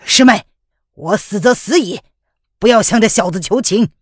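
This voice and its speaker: A woman narrator voicing a proud, badly wounded male swordsman, shouting bitterly. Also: mid-range voice, normal speed, dry recording, studio quality, pitched above the performer's own voice, close to an everyday voice. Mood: angry. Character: proud wounded young swordswoman's husband, furious.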